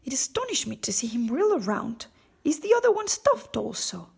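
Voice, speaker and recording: A woman's voice: high-pitched, very animated, normal speed, vocal fry, slightly rough, pitched above the performer's own voice, full character voice. A woman voicing a big cowardly male lion from a storybook, sad, ashamed and plaintive as he confesses. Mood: curious, surprised. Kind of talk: storybook character voice.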